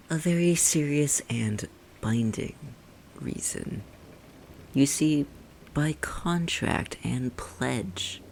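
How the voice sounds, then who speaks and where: An ASMR roleplay performer voicing a haughty, possessive demon who condescends to and teases a human captive, often in a breathy whisper. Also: mid-range voice, a little mellow, a little hiss or hum. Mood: possessive, solemn. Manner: condescending, teasing, imperious, theatrically formal.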